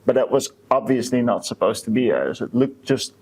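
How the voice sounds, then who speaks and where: A man co-hosting a podcast in casual chat, with a hesitant, halting way of talking that often restarts mid-sentence. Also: mid-range voice, lively intonation, a little mellow, slightly rough. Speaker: podcast co-host.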